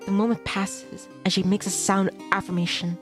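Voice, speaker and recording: A woman streamer reading a visual novel aloud in dramatic first person, tense and expressive, in short clipped phrases. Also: medium pitch, moderate pace. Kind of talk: dramatic first-person narration of a game script. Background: game soundtrack music.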